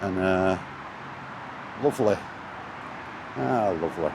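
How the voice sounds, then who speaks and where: A man's voice: low-pitched, lively intonation, slow, gravelly. A man giving a casual, rambling vlog chat in a hesitant, laid-back way, recorded on a handheld camera outdoors. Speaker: gaming YouTuber. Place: outdoors, walking near traffic. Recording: noisy outdoor recording with urban traffic. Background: outdoor urban and vehicle noise.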